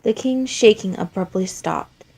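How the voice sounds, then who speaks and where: A woman reads fan fiction aloud as dramatic narration. Her voice is mid-pitched and rather husky, telling the tale from a script. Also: measured pace, darkish tone, low-quality recording.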